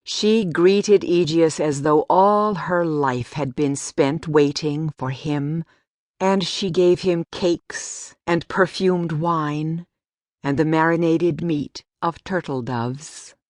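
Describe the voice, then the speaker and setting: Slow, low-pitched woman storyteller. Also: a little mellow, dry recording, studio quality. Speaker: audiobook narrator. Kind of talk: scripted storybook narration.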